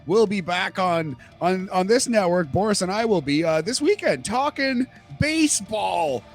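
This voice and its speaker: A man's voice: high-pitched, animated, measured pace, soft background music. A man co-hosting a wrestling podcast in casual chat. He laughs easily, stammers and repeats words. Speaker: podcast co-host.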